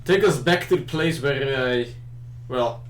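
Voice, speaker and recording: A man's voice: medium pitch, unhurried. A man playing a tabletop roleplaying game, bantering casually in character, in an echoing room. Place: indoor room, group game livestream. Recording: echoey room with clear background hum.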